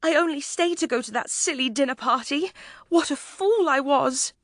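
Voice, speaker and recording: A frantic society lady from a classic storybook, acted out by a woman narrator. Her voice leaps high and sounds breathless and frightened, crying out in distress. The recording is close and dry. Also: normal speed, a bit bright, no room sound. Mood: anguished regret.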